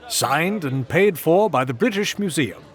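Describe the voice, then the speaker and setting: Man acting composed European traveler, lively intonation. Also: on the high side, measured pace, husky. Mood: dryly amused. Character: composed, polite European male traveler, dryly witty. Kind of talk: audio drama acting.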